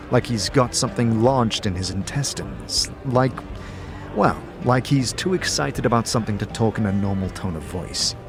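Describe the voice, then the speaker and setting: A man narrating a fantasy tale in the second person as game master, in an expressive storytelling manner. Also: on the low side. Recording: music under the voice.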